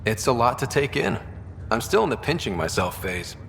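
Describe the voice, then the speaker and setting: An actor playing a young male space explorer in a video game, wry and warm, given to dry banter. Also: mid-range voice, very animated, normal speed, gravelly, slight background noise. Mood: dry, easygoing.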